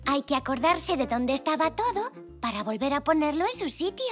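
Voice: sits high, brisk, over music. An actor voicing a helpful, cheerful young girl zebra in a children's cartoon, with a childlike voice and an encouraging manner. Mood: curious. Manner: encouraging, lively.

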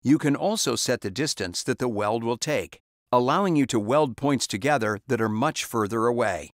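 A man reading a scripted step-by-step software tutorial as a voice-over, calm and instructional. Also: mid-range voice, moderate pace, no echo, studio quality.

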